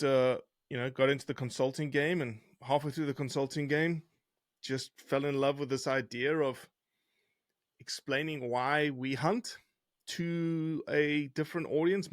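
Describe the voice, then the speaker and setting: A middle-aged man with a softened South African accent talks as an interview guest, telling stories in a conversational way. His mid-pitched voice sits in a very dry space with little room sound. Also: vocal fry, dry recording. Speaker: interview guest, conservation scientist. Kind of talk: conversational storytelling.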